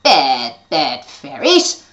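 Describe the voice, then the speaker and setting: A woman's voice: extremely low pitch, wildly swooping pitch, extremely slow, a bit bright, voice pushed down, heavily acted. A woman voicing a scheming, mean female witch who gloats and cackles. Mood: gloating. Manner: cackling, sly and exaggerated.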